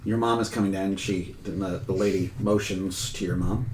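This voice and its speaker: American guy game mastering, kinda halting, making it up as he goes, roomy echo. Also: low voice, steady tone, darkish tone. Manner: halting, thinking aloud. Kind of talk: improvised tabletop game narration. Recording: roomy echo, faint noise.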